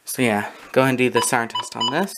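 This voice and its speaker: A person giving a casual, halting, matter-of-fact show-and-tell narration, recorded on a handheld camera in a room at home. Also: mid-range voice, loud music behind the voice. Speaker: video creator showing a radio collection. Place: small room at home, indoors. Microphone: handheld camera close by.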